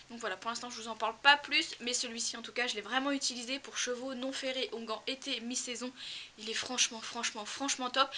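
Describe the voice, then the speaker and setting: A woman's voice: fairly high pitch, sharp tone, a little hiss or hum. A young woman with a cold and a slightly nasal, congested voice chats casually through a product review, repeating words for emphasis. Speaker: equestrian vlogger. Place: outdoors in a stable tack room, cold.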